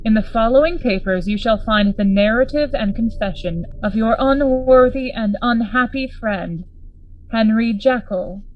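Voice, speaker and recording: A woman performing as a formal, commanding investigator in a gothic radio play. The voice sits fairly high, with urgent dramatic delivery and a faint wash of ambient noise behind it. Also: measured pace, highs cut off, natural voice. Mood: bewildered, solemn. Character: grave, commanding Victorian friend investigating a horror.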